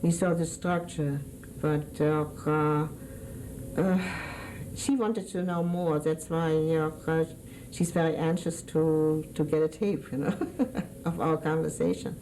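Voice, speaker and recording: Older woman, sixties, German accent, low mellow voice, hesitant oral-history interviewee. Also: fairly even intonation, takes it slowly, a little hiss or hum, rough recording. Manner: hesitant, searching for words.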